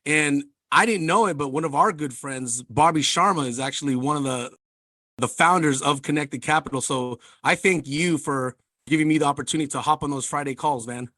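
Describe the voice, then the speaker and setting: A man co-hosting a podcast, talking in an energetic, casual way full of "man" and "like". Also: on the high side, brisk, dry recording. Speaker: podcast co-host. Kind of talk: casual interview chat.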